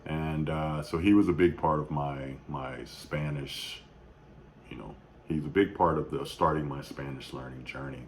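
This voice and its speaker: A man in his thirties with an extremely low, deep voice talks off the cuff in a personal video tribute. He speaks somberly and haltingly, full of pauses, fillers and restarts. A faint hum of ambience sits under the recording. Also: fairly even intonation. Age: 30s. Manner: somber, reflective. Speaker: YouTube vlogger.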